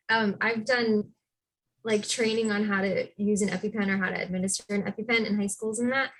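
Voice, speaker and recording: A woman's voice: mid-range voice, poor audio. A woman talking casually on a discussion panel, chatty and informal, filling her sentences with "like". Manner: chatty, lots of "like", animated when quoting herself.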